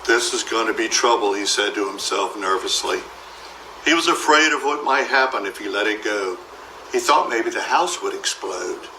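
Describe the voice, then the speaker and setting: A man narrates a children's storybook with a bright, forward voice. He reads expressively and acts out the dialogue. The room adds a clear echo, and there is faint noise behind him. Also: mid-range voice, far from the mic. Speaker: storybook reader.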